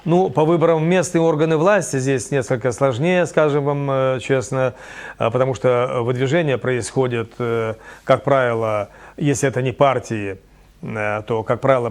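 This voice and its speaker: A man speaking as a studio guest on a television discussion, measured and full of hesitation fillers. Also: mid-range voice, steady tone.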